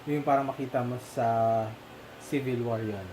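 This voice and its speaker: A man's voice: mid-range voice, normal speed, background noise. A man with a Filipino accent chatting casually about a film, hesitant, full of fillers and restarts. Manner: hesitant, many fillers, mixes languages. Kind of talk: casual film discussion chat.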